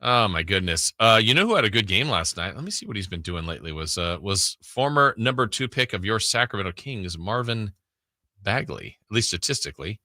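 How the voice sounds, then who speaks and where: An American man hosting a sports radio show in casual banter, animatedly thinking out loud as he goes. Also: fairly low pitch, animated, brisk, dry recording, clean studio sound.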